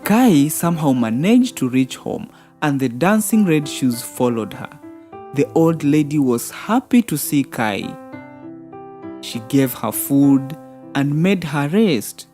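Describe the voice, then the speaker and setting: Low-voiced, unhurried woman storyteller. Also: very animated. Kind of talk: scripted children's fairy-tale narration. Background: soft background music.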